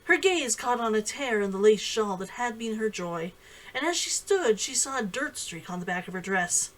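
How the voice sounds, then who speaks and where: A woman reading her own novel aloud in expressive audiobook-style narration. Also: fairly high pitch, moderate pace, poor audio.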